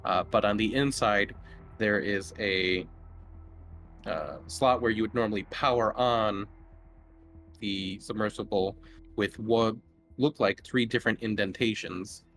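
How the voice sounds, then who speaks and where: A man acting as game master, describing scenes for a tabletop horror game in a halting, improvised way. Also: on the low side, a bit bright, slight background noise.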